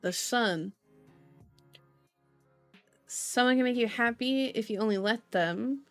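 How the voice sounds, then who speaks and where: A woman's voice: fairly high pitch, slow pace, no echo. Chatty woman streamer over music. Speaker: livestream host reading tarot and horoscopes. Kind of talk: casual livestream chat mixed with reading aloud.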